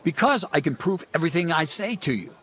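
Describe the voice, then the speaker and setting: An actor playing an earnest, loyal medieval officer in a video game, respectful but insistent, with a husky voice. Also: male voice, fairly high pitch, lively intonation, fast talker, a bit bright. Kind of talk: dramatic video game acting.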